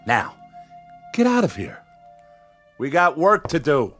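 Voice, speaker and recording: An actor playing a confident, wry and defiant small-town newspaper editor in a radio drama. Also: male voice, fairly high pitch, huge pitch swings, slow pace, fairly bright tone, faint music behind, exaggerated voice.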